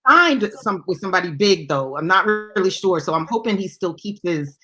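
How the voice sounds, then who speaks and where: A woman's voice: on the low side, expressive, normal speed, a crowd in the background. A woman hosting a music show in casual chat, effusive and emphatic about what she likes. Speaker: radio show host and music DJ.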